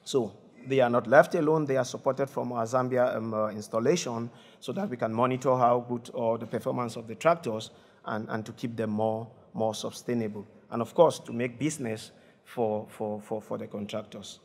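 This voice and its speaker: Gravelly man giving a halting talk. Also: mid-range voice, fairly even intonation. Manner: halting, many fillers and repeats. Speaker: conference speaker. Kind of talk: conference presentation. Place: conference hall.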